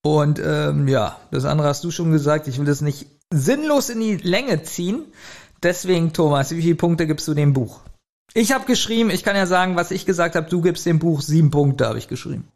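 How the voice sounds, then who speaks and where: A man with a fairly high, strongly creaky voice. He talks in a casual, conversational way, like a podcast co-host reviewing something. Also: lively intonation, brisk, a little mellow.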